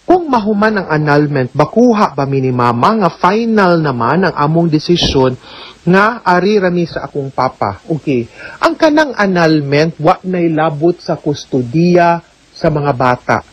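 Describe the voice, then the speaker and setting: A middle-aged woman lawyer speaks in a husky, slightly breathy voice, close to the mic in a dry, deadened room. She explains each point patiently and methodically. She keeps checking in with the listener as she answers a letter on air. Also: low-pitched, lively intonation, measured pace, dry recording, dull audio. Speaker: lawyer giving legal advice on radio. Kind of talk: radio legal advice, answering a listener's letter.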